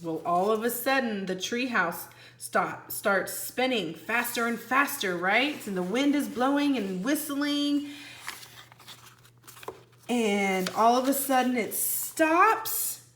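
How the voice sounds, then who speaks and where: Woman, primary teacher read-aloud, bright and expressive, unhurried, roomy sound. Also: fairly high pitch. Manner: expressive, instructional, addressing children with questions. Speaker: first-grade teacher reading aloud. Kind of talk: animated read-aloud of a children's storybook. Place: indoor room, book held on camera.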